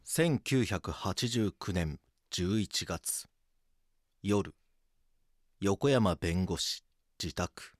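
A man playing a grave police detective who narrates an audio drama in a brooding, deliberate, dramatic way. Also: sits low, expressive, no echo, exaggerated voice.

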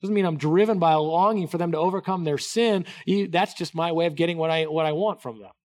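Man, middle-aged, pastor, sermon, slightly high voice, earnest, hushed to emphatic, many restarts. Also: quick pace. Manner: earnest, wide swings between soft hushed and raised emphatic delivery; many restarts and repeated words.

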